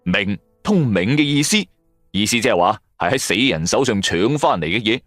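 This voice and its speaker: Animated Cantonese male storyteller over music. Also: lowish voice, moderate pace, no echo, clean studio sound. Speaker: audio drama narrator. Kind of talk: scripted first-person narration of a supernatural radio serial. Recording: music bed under the voice. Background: background music.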